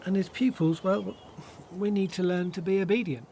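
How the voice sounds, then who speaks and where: An actor playing a kindly, patient disciple in a radio play, speaking in a gentle, reassuring manner. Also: male voice, high voice, fairly even intonation, normal speed, gravelly, slight background noise.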